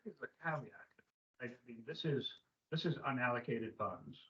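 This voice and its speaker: A quiet, soft-voiced man speaks as a board member in a meeting discussion. His voice is at a middle pitch for a man. The recording sounds muffled and lo-fi. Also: animated, moderate pace.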